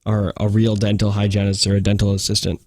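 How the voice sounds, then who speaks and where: A man's voice: lowish voice, monotone, quick pace, darkish tone, no room sound, studio quality. A man co-hosting a morning radio show, chiming in with casual agreement and stories. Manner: agreeable, chimes in with short replies. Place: radio studio.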